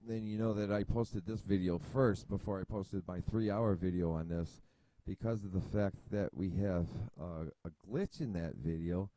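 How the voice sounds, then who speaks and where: A man's voice: sits low, fairly even intonation, moderate pace, hardly any pauses, warm tone, slight background noise, low-quality recording. A man hosting a casual chess analysis video, thinking aloud at his computer with many fillers and restarts. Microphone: desk mic at a computer.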